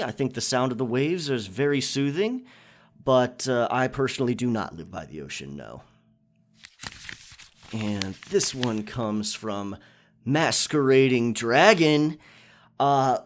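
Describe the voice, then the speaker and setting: A man talking casually and reflectively as a channel creator, answering listener questions and explaining his thinking. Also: mid-range voice. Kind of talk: casual Q&A monologue.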